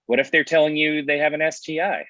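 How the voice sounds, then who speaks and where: A man's voice: on the high side, fairly even intonation, fast, bright, forceful tone. A man playing a needling, wisecracking friend in a comedy table read, heard through a dull online-call connection. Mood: teasing.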